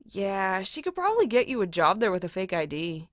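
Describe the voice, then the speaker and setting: Female actor, audio drama: laid-back, mischievous, lively intonation, medium pitch. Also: a little fast, no room sound, studio quality, natural voice. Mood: casual.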